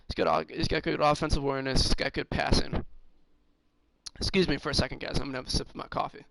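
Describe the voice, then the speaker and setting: Raspy man thinking aloud over a game. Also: a little high, level tone, very noisy. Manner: thinking aloud, halting with fillers. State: slightly hoarse. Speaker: gaming streamer. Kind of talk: casual game commentary.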